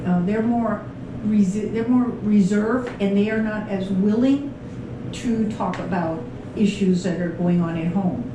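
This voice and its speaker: A woman hosting an interview, talking in a halting, thoughtful way with many fillers. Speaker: podcast host, clinician. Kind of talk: interview conversation. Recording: very echoey room, distant mic, rumble.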